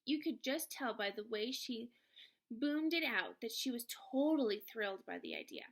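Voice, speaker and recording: Animated, high-voiced woman reading a story aloud. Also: moderate pace. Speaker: read-aloud reader, teacher. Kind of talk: expressive children's novel read-aloud.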